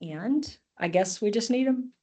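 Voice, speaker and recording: A woman's voice: fairly high pitch, expressive, measured pace, mellow, husky. A middle-aged woman, a county commissioner, reading a proclamation aloud and chatting informally at a public meeting. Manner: friendly, informal asides with laughs.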